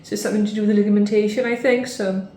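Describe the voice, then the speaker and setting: A woman's voice: mid-range voice, steady tone, rapid-fire, warm tone, roomy sound. A woman in her thirties with a Welsh accent, chatting casually to camera at home in a rambling way with lots of fillers. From Wales. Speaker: vlogger.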